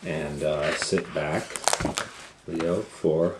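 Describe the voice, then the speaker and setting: A man reading a children's storybook aloud as host, theatrical and folksy, with drawn-out phrasing and asides. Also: extremely low pitch, steady tone. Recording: roomy sound with clear background noise.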